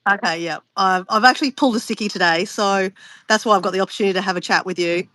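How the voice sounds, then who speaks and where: A woman's voice: mid-range voice, fast talker, sharp tone. A woman chatting as a guest on a video call, a bit stuffy and sniffly, rambling with restarts. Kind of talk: casual chat on a video call.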